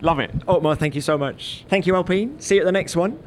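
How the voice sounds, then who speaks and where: Male sports podcast host, higher register, interviewing outdoors with noticeable traffic-like noise behind. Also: quick pace. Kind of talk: motor racing review chat and interviews. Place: outdoors in a race paddock. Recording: clear outdoor background noise. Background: outdoor urban and vehicle noise.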